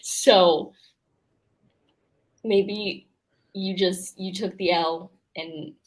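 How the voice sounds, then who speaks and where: A woman guest on a live book show, discussing casually in a thoughtful, halting way and often correcting herself. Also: fairly low pitch, fairly even intonation.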